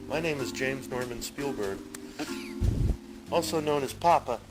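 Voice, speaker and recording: A man's voice: medium pitch, sing-song, slow pace, over loud music, low-quality recording. A man chatting casually as a father with a young child, coaxing and asking questions.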